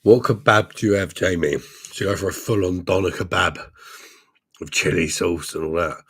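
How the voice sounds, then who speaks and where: A man hosting a live stream, chatting with his viewers in a rambling, crude way full of swearing, his voice hoarse because he is ill. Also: sits low, normal speed, a bit bright. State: hoarse, unwell. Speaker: livestream host reading chat. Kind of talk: casual crude drinking chat.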